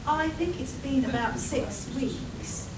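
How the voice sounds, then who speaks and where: A woman's voice: sits high, lively intonation, slow. A woman playing a melodramatic, evasive village woman in a live comedic radio play. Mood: ominous storytelling. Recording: echoey hall, far mic, noisy.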